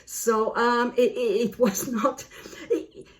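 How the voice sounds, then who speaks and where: A woman book vlogger chatting casually to camera in an animated, self-deprecating way. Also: fairly high pitch, takes it slowly.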